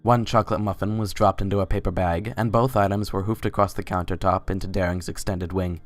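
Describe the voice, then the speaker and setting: A man's voice: low voice, flat delivery, fairly quick, no echo. A man reading scripted story narration in a dramatic reading. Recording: music under the voice. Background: background music.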